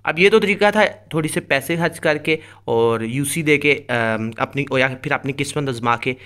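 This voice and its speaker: A man's voice: on the high side, normal speed, pushed and bright, clean tone. A man hosting a gaming tips video, explaining things step by step in a casual way and addressing viewers directly. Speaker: host of a mobile game tips channel. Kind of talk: casual tutorial commentary over gameplay.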